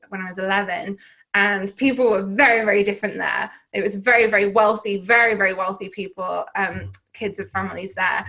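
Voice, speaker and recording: A woman with a Southern English accent speaks at a middling pitch as a podcast guest. She tells personal stories in a rambling, reflective way, full of restarts and filler words. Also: fairly bright tone. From Wales. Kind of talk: candid personal storytelling in conversation.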